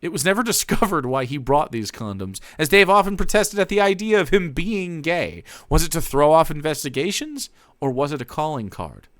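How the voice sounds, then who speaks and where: A man's voice: a little high, lively intonation, moderate pace, hardly any pauses, a bit bright, dry recording. A young man streaming, giving a theatrical reading of fan fiction and breaking off to comment, on a close desk mic.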